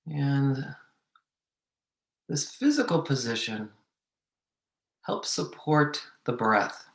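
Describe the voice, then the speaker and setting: A man leading a guided meditation, giving gentle, measured instructions with long pauses. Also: medium pitch. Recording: echoey room.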